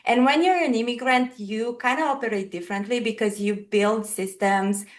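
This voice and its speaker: A woman's voice: fairly high pitch, fairly even intonation, normal speed. A woman in her thirties from Romania, speaking English with a Romanian accent as a podcast guest, earnestly telling her personal story.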